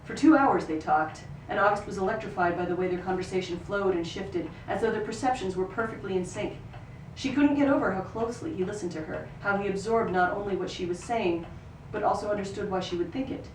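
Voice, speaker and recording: A woman reading a novel excerpt aloud at a live reading, in an expressive storytelling manner with occasional stumbles. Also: medium pitch, fairly quick, few pauses. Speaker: actor reading a book excerpt. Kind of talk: live literary reading, third-person narration. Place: live reading in a roomy venue. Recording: roomy, distant microphone, noisy ambience.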